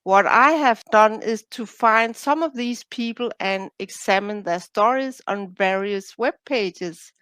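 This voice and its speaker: A woman's voice: medium pitch, unhurried, hardly any pauses, fairly bright tone, smooth, no echo. A woman from Denmark with a Danish accent, speaking English as her second language, answering interview questions carefully as an author and coach.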